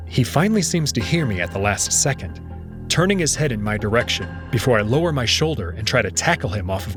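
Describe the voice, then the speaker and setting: A man narrating a scripted story in the first person, in a dramatic reading style. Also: mid-range voice.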